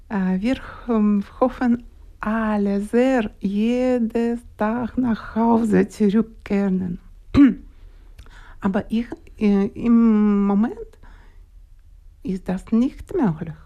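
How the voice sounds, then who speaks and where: A woman in her sixties with a Ukrainian accent, speaking German haltingly as a guest in a radio studio interview. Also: medium pitch, mellow. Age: 60s. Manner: slow, halting, searching for words.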